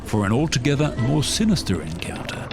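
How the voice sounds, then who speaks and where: A man's voice: medium pitch, sing-song, fairly quick, creaky. A man narrating a radio serial as a theatrical announcer. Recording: loud music under the voice.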